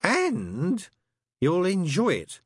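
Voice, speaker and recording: Sly tipsy demon, slow and wheedling, pitch leaping up and down, breathy and dry, voiced by a man. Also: wildly swooping pitch, dry recording, heavily acted. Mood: insistent. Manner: wheedling, insistent, drawn-out.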